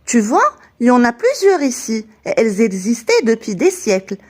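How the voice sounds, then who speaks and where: Woman as a high-pitched cheerful cartoon girl. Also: very animated, normal speed. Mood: instructive. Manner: explanatory, enthusiastic. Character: bright, knowledgeable young girl guide, cheerful and friendly. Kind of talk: scripted children's educational cartoon dialogue.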